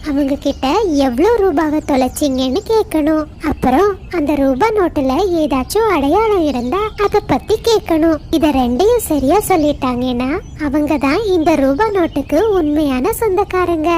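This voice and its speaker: High sweet-talking mama bird, done by a woman, caring, explains things to kids, cartoon. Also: lively intonation, moderate pace, dark tone, a little hiss or hum. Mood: gentle, instructive. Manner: caring, instructive. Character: gentle mother kingfisher bird, kind and sensible. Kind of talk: children's cartoon story acting.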